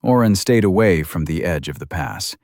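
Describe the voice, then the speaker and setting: A man narrating a fantasy novel as an audiobook, in a steady, even storytelling manner. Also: sits low, normal speed, no room sound, clean studio sound.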